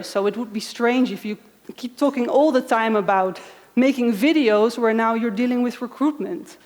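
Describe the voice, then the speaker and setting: Female speaker in her thirties, Dutch accent, slightly high voice, engaging presenter, long hall reverb. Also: darkish tone. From Netherlands. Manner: friendly, engaging, asks the audience questions. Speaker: conference speaker. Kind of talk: conference keynote talk. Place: large conference hall. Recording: echoey hall.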